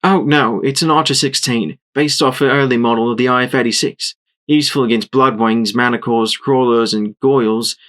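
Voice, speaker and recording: A man voicing a refined female ranger mare with a smooth, genteel manner. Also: medium pitch, steady tone, moderate pace, a bit bright, lightly acted. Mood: matter-of-fact.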